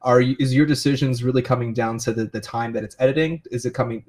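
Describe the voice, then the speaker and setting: A man's voice: mid-range voice, fairly even intonation, quick pace, darkish tone. A man hosting an interview, asking long, searching questions. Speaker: podcast host.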